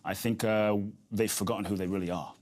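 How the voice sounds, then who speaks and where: Interview guest: man, rapid and earnest, a bit low-voiced, reflective. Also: fairly even intonation, a little mellow. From grew up in London. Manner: earnest and reflective, repeats key phrases. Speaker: guest, inspirational speaker. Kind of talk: interview conversation.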